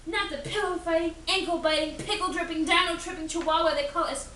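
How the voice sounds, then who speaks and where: A woman's voice: very high-pitched, steady tone, fairly quick, sharp tone, a little hiss or hum, voice pushed up. A woman voicing a huge, blustering male dinosaur in a storybook, roaring out dramatic lines. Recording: echoey room from a distance.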